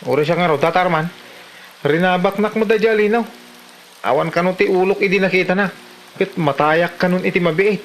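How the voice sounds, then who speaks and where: A slightly high-pitched village man played by a man, aggrieved and complaining. It is comedy drama acting in a northern Philippine accent, with faint sizzling behind. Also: fairly quick, a little hiss or hum.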